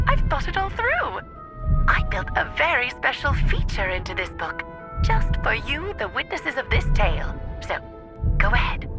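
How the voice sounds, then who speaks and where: A playful sorceress in a video game, high-voiced and very animated. She sounds teasing and pleased with herself, speaking conversationally over clear background music. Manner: teasing, self-satisfied, conversational. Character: sly, playful sorceress who authored a magic book. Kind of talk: recorded message to listeners.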